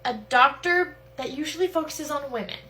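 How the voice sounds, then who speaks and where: Woman playing a bright-voiced, high-pitched sarcastic schoolteacher. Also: moderate pace. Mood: patient, bemused. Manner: dry, sarcastic, no-nonsense. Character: firm, sarcastic, exasperated female schoolteacher. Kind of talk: comedy skit dialogue.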